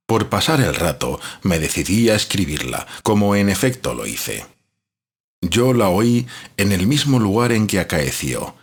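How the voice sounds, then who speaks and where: Deep, very raspy actor as gothic narrator. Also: male voice, heavily acted. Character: brooding gothic writer narrating a chilling old legend. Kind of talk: scripted dramatic narration.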